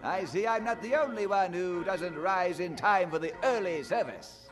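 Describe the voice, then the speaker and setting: An actor playing an eager, pushy and slightly sardonic newspaper reporter of colonial times in an audio drama. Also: male voice, sits high, moderate pace, loud music behind the voice, lightly acted. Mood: amused, sardonic.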